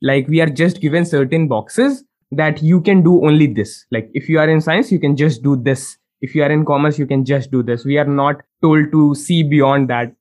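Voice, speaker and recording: A man's voice: on the high side, moderate pace, hardly any pauses, darkish tone. A man from India hosting an interview, talking in a friendly, chatty way and laughing between phrases. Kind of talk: casual interview chat.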